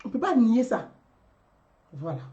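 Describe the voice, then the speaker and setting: A woman's voice: on the high side, sing-song, moderate pace, warm tone, dull audio. A woman, delivering a rhetorical political commentary and repeating her questions. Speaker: commentary vlogger.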